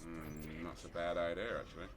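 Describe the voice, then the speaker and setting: A man's voice: low-pitched, little pitch movement, moderate pace, background noise. A man hosting a casual livestream, chatting with viewers and a fellow player. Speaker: game streamer and host. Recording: loud music behind. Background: music.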